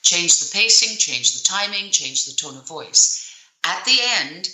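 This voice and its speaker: A woman with a low speaking voice that still cuts through brightly. She coaches on public speaking in an emphatic, deliberate way, leaning on pauses and changes of tone. The recording has an echoey room around her. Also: lively intonation. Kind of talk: interview answers, instructive.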